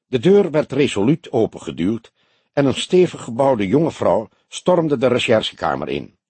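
A male narrator reads detective fiction expressively. His voice is medium in pitch with lively movement and a husky grain, in a dry, close-sounding audiobook recording. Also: normal speed, no echo.

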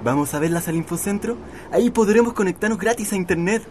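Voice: mid-range voice, animated, quick pace, darkish tone, a little hiss or hum, natural voice. A performer playing an enthusiastic, laid-back young boy with a Chilean accent in a radio drama. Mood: excited.

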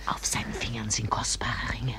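An actress playing an old Malay wife in a German radio drama, nagging and scheming, with insistent, bitter demands in a hissing, creaky voice. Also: female voice, extremely low pitch, flat delivery, moderate pace, in a whisper. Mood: awed, secretive. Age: older. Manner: insistent, repetitive pleading and demanding.

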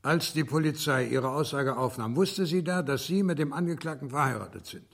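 Gravelly, courteous judge. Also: male voice, on the high side, expressive, fairly quick. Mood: puzzled. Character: calm, courteous male judge with quiet authority. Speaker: actor in a radio play. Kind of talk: German radio drama acting.

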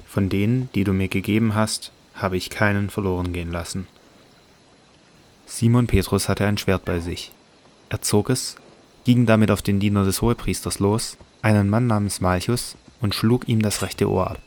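Deep, even-toned male narrator. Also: moderate pace. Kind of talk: scripted Bible audio drama narration.

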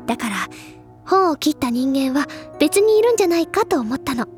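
A woman's voice: sits high, very animated, a little fast, faint music behind. A woman playing an earnest, bookish teenage schoolgirl in a Japanese audio drama, speaking in refined, effusive feminine phrases. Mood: earnest, thoughtful. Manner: effusive, refined feminine speech, gently persuasive. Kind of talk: Japanese audio drama, anime-style acting.

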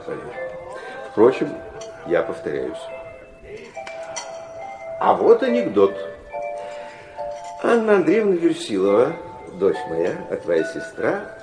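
Ironic gentleman father, low and swooping. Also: full character voice. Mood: wry, teasing. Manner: mocking, theatrical, aristocratic. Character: ironic, worldly middle-aged gentleman father. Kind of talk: Russian radio drama acting.

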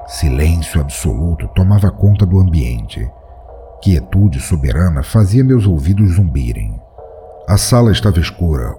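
A man's voice: deep voice, dark tone, slight background noise. A man reading a first-person horror story aloud in a dramatic, tense storytelling manner. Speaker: narrator.

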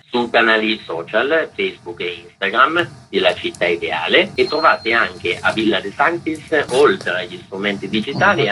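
Deep-voiced man explaining a festival program. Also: bright, forceful tone, low-quality recording. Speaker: festival organizer. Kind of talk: radio interview answers. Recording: radio broadcast.

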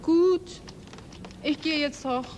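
Hesitant Turkish woman, rumbling background. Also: takes it slowly, a little mellow, slight background noise. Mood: worried, reserved. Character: worried, reserved Turkish woman neighbour. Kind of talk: German radio play acting.

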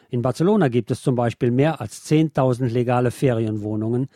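A man presents a written interview as a radio host, reading it out in scripted narration. His voice is mellow and soft-edged, sitting at an average male pitch. The sound is dry, with very little room on it. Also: dry recording.

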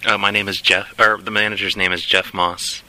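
A man making a prank phone call as a hotel worker, deadpan and mock-helpful, sometimes turning indignant. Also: sits low, fairly quick. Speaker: prank caller posing as hotel housekeeping. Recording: noisy phone line.

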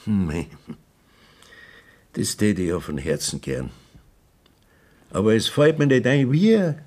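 A Bavarian village priest speaks in a fairly low voice. He is strict about doctrine yet resigned, admonishing and sighing in folksy dialect, as in a radio drama. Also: big pitch swings, slow pace, darkish tone, exaggerated voice. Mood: helpless, resigned. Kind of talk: dialect radio play acting.

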